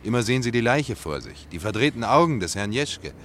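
A man's voice: medium pitch, sing-song, a little fast, a bit bright, a little hiss or hum. A man playing an ironic, talkative stage actor in a German radio play, speaking with theatrical, mocking courtesy.

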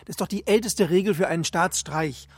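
A skeptical, practical member of a group on the run in a radio crime drama. Her voice is husky and close, with a dry sound and no room around it. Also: lowish voice, a little fast, no room sound.

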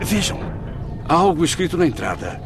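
A man's voice: a little high, sing-song, fairly quick, over loud music. A man acting an anguished, wondering spirit in a radio drama, recalling a bleak afterlife in a theatrical way. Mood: astonished. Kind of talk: radio drama acting, first-person recollection.

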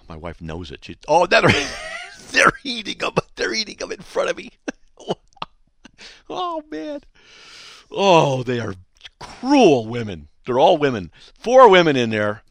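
A man hosting a call-in radio show from a studio, his voice hoarse and scratchy, chatting and joking with listeners. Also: a little high, wildly swooping pitch, slow, fairly bright tone, dry recording. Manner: chatty and joking, breaking off to talk to people behind the studio window. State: hoarse, voice still shot. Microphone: studio microphone.

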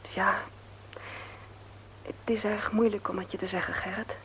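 A woman's voice: medium pitch, level tone, unhurried, a bit bright. A woman playing a hesitant, troubled young mother in a radio play. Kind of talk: Dutch radio play acting. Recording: noisy old radio play recording.

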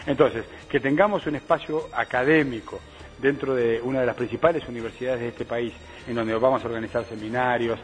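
A man's voice: medium pitch, steady tone, brisk, rough recording. A man giving an official statement in a news clip, fluent and formal. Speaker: ambassador interviewed in a news clip.

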